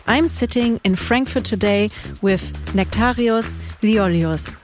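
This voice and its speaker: A woman's voice: medium pitch, takes it slowly, faint music behind. A woman hosting an interview podcast, asking questions in a friendly way and affirming her guest often. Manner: friendly, frequent affirming mm-hmms. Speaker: podcast host.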